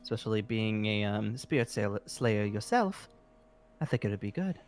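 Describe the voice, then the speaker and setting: A man's voice: lowish voice, expressive, moderate pace, close to an everyday voice. A man playing an eager, apologetic fantasy guild leader in improvised roleplay, talking in a hesitant, rambling way. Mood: gracious, rambling. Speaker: roleplay performer. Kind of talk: improvised Minecraft roleplay dialogue. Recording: music under the voice.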